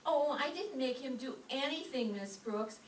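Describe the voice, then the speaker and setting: A woman's voice: sits high, normal speed, lightly acted. A woman playing a haughty, catty teacher with affected, condescending politeness in an old-time radio comedy, recorded from a distance in a roomy space. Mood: icily polite. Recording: distant roomy stage pickup, faint noise.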